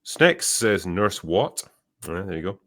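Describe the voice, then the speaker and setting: Low-voiced man hosting a casual podcast. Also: very animated, slow pace, fairly bright tone. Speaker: podcast host. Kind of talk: casual chat, reading viewer messages aloud.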